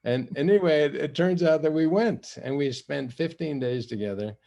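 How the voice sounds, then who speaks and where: An older man from Africa, Swahili his first language, telling his story in an interview in a measured way, often restarting and laughing lightly. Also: on the high side, dark tone. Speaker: guest, retired general and envoy. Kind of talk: interview conversation.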